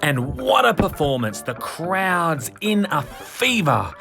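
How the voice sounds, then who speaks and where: A man reading a children's picture book in verse, with expressive, dramatic, rhythmic narration. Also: on the high side, slow pace, gravelly, faint music behind.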